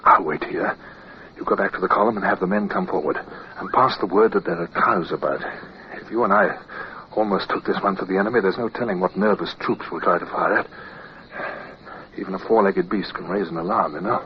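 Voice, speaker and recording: An actor playing a composed, earnest British naval officer in a radio drama, speaking formally and with measured delivery. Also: male voice, sits low. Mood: earnest, respectful. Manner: formal, measured, clipped orders. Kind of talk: radio drama acting and first-person narration. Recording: dull, faintly noisy old radio recording.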